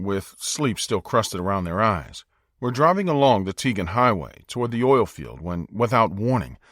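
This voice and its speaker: An American man narrating a dramatic audiobook in a measured, suspenseful storytelling style. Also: on the low side, fairly bright tone, no room sound, clean studio sound.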